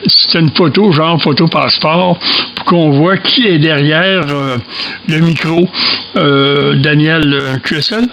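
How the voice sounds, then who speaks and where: A man asking questions in casual, halting radio chat. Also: a little high, gravelly, noisy background.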